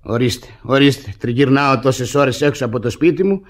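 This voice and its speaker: A man's voice: mid-range voice, close to an everyday voice. An actor playing a bitter, suspicious wealthy peasant husband in a radio comedy, exclaiming and repeating his words. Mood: bitter, self-pitying. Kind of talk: Greek radio theatre acting of classic comedy.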